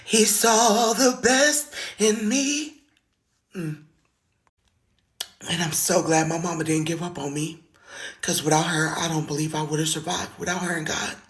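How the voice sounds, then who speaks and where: A woman with a raspy voice and a slight lisp, a singer telling her own story to a phone camera in an earnest, heartfelt way. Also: fairly low pitch, a little slow, pauses often. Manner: earnest, heartfelt, plain-spoken. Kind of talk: personal testimony to camera. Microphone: phone video.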